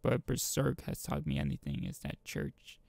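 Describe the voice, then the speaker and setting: Gravelly, husky man doing casual game commentary. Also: extremely low pitch, huge pitch swings, normal speed, darkish tone, no room sound. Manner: rambling, hesitant, thinking aloud. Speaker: gaming video host. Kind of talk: casual let's play commentary with read-aloud visual novel text.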